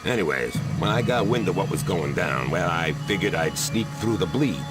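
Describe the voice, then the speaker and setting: An American actor playing a gruff, wisecracking demon hunter trapped in a wooden dummy, with streetwise slang, in a video game. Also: male voice, low voice, lively intonation, moderate pace. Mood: sardonic, confiding. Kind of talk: video game voice acting, hard-boiled tough-guy slang. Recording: loud music behind.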